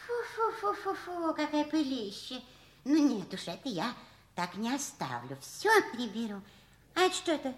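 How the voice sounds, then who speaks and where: Fussy, high-voiced housekeeper. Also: female voice, big pitch swings, normal speed. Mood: fussy, indignant. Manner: talking to herself in short repeated phrases. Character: fussy, determined widow housekeeper, muttering while cleaning. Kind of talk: 1960s radio play acting. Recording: echoey room.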